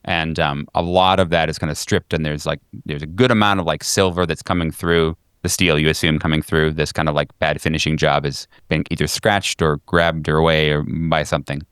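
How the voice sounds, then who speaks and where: Deep, smooth-voiced male game master. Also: level tone, fairly bright tone, no echo, clean studio sound. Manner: conversational, halting, descriptive, casually profane. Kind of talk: improvised tabletop horror narration.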